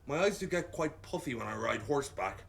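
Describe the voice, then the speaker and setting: An actor playing an awkward, flirtatious man who talks in a halting way. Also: mid-range voice, expressive, creaky. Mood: rambling. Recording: faint background noise.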